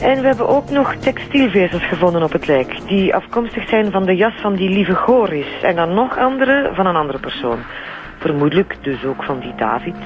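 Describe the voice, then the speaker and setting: A woman's voice: on the low side, expressive, normal speed, over music, lightly acted. An actress with a Flemish accent playing a friendly, matter-of-fact female forensic examiner in a radio drama. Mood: friendly, businesslike.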